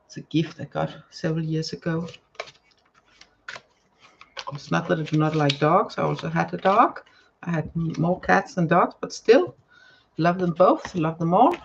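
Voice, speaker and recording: A woman with a Danish accent, whose first language is Danish, giving a live tarot reading to viewers and often pausing to search for words. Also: low voice, creaky, lo-fi sound, slight background noise. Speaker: tarot card reader. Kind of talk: live-stream card reading, chatting to viewers.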